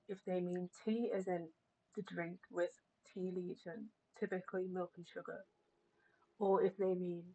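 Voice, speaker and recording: A woman's voice: lowish voice, poor audio. A British woman chatting casually on a live stream, polite and musing as she talks.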